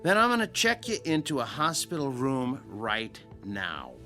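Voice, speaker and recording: Animated, stern, bright-voiced doctor. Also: male voice, mid-range voice, unhurried, soft background music, heavily acted. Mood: firm, admonishing. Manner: firm, emphatic, admonishing. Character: stern, concerned male doctor lecturing a patient. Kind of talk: radio drama acting.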